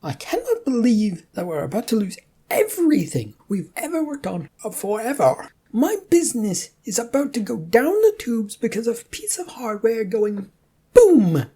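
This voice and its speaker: Woman putting on a shrill, irate male office boss. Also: very animated, moderate pace, dark tone, husky, full character voice. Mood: panicked, huffy. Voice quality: annoying nasal voice. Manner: demanding, exasperated, blustering.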